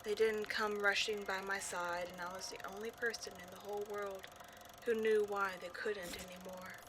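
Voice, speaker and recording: A woman's voice: medium pitch, little pitch movement, normal speed, background noise, lightly acted. A woman playing a petty, theatrical ghost from an old horror film in a scripted comedic audio roleplay, mock-sinister and easily exasperated. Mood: hushed, brooding.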